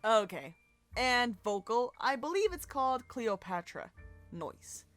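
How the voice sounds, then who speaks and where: A woman's voice: a little high, big pitch swings, slow pace, fairly bright tone, soft background music. A woman co-hosting a fan breakdown, giving recap commentary in a playful, jokey way. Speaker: co-host of a fan breakdown show.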